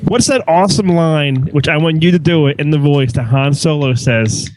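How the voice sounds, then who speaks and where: A man's voice: a little high, moderate pace, darkish tone, dry recording, rough recording. A man co-hosting a podcast in casual, joking banter. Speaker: podcast co-host.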